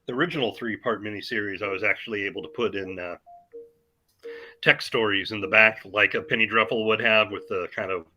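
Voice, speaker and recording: Man, podcast guest, very bright sharp voice, fairly low pitch, easygoing, self-deprecating, lots of fillers. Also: steady tone, brisk. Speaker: podcast guest, comic creator. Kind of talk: casual interview answers.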